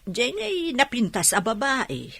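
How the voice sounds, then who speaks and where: A woman acting a kindly, hospitable older village mother in a radio drama, with a Filipino accent and an expressive, homely manner. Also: on the high side, unhurried, breathy edge, no room sound, heavily acted. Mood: warm, hospitable.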